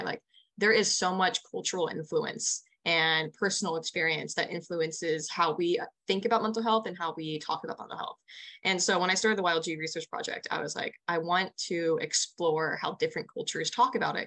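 A woman's voice: fairly low pitch, fairly bright tone. A young American woman in her early twenties answering interview questions in an enthusiastic, chatty way peppered with "like". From United States. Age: early 20s. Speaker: interview guest, young nonprofit founder. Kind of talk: casual spoken interview answers.

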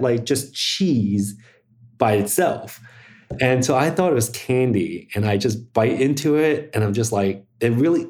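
A man's voice: medium pitch, darkish tone. A middle-aged man from Hong Kong, a guest on an interview podcast, telling a personal story in a casual, chatty way with many fillers. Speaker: interview guest, media executive.

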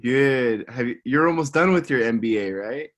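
Male podcast host, casual and halting, mid pitch. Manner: relaxed, hesitant with fillers. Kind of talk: casual interview chat. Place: Japan.

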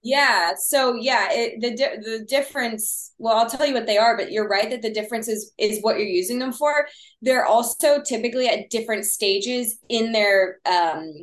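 A woman with a Texas accent who talks quickly and explains with many fillers. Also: on the high side, reverberant. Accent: Texan. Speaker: baking guest. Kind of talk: casual podcast chat.

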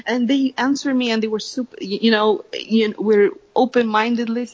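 A woman's voice: fairly high pitch, steady tone, darkish tone. A woman from Egypt with a light Egyptian accent, a guest telling stories in a casual interview, full of fillers, restarts and frequent laughs. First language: Arabic. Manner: halting, many fillers and restarts, laughs often. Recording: dull lo-fi sound.

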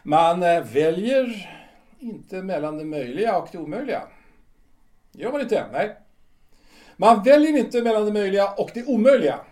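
A man's voice: high-pitched, animated, pauses often, dark tone, distant mic. A man playing a proud, brooding retired official in his sixties in a radio drama, speaking theatrically and repeating himself emphatically. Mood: indignant. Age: older. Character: proud, brooding 62-year-old retired official clinging to memories.

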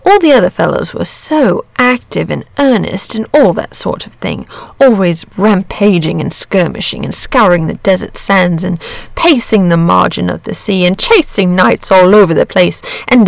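A woman's voice: mid-range voice, gravelly, no echo. A woman voicing an amiable, lazy, bashful male dragon from a storybook, chatty, rambling and genteel. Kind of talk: storybook character reading.

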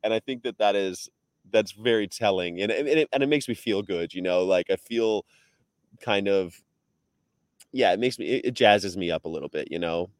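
Chill activist guy, slangy and easygoing, lowish voice, dry sound. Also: normal speed, no echo. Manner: laid-back slangy talk. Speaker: touring animal rights activist. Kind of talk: casual conversation.

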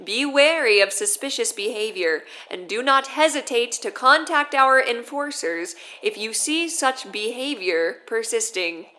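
A woman's voice: on the high side, expressive, unhurried, few pauses, bright, forceful tone, poor audio. A woman acting as a stern, authoritarian president giving a formal broadcast speech in an audio drama. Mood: firm, official.

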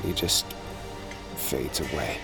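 A man's voice: a bass voice, slow pace, gravelly, heavily acted. An actor playing a weary, sardonic space pirate who tells his story in an audio drama. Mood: hushed, grim. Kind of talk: first-person audio drama narration with acted dialogue. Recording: dramatic music under the voice. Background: music.

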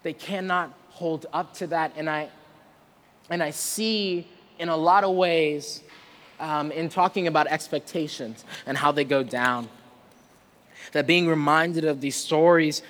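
A man's voice: fairly high pitch, fairly even intonation, measured pace, bright, forceful tone. A man preaching a conversational sermon, earnest and rhetorical, often checking in with his listeners. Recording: reverberant hall with long echo.

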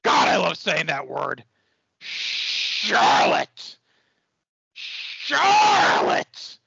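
A man playing a brash, boastful, manic wrestling boss in a comedic audio play, with a strained gravelly growl and bombastic, shouted emphasis. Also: sits high, wildly swooping pitch, very slow, no echo, dull audio, a faint crowd, heavily acted.

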